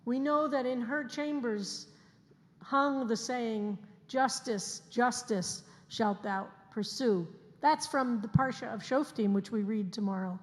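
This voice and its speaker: Brief: rabbi in her 60s, woman, fairly high voice, earnest sermon delivery, long sanctuary reverb. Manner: earnest, slows down to stress key phrases. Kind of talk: sermon to a congregation. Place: synagogue sanctuary, livestreamed service.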